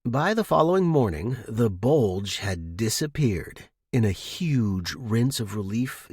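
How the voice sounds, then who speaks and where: A man's voice: mid-range voice, a little mellow, husky, no echo, studio quality. A man reading a short story as scripted, dramatic narration for a fiction podcast.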